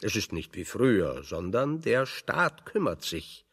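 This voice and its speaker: Slow, drily ironic physicist in reverb. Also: male voice, on the low side, slightly rough, heavily acted. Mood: dry irony. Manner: deliberate, ornate, deadpan irony. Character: big, fat, pedantic physicist, drily ironic. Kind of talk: German radio drama dialogue.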